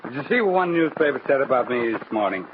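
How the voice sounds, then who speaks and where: An actor playing a cocky, volatile American gangster who brags and bullies in a 1940s radio crime drama. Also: male voice, mid-range voice, big pitch swings, quick pace, close to an everyday voice. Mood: smug boasting. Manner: bullying, boastful, slangy. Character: cocky, drunken, volatile gangster boasting of power. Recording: muffled, very noisy old radio recording.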